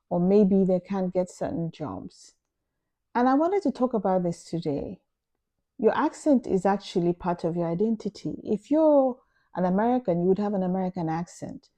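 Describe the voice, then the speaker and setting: Earnest Nigerian woman with a mellow voice. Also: medium pitch. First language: Igbo. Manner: earnest, emphatic, measured explanation. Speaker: vlogger. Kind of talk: opinion monologue to camera.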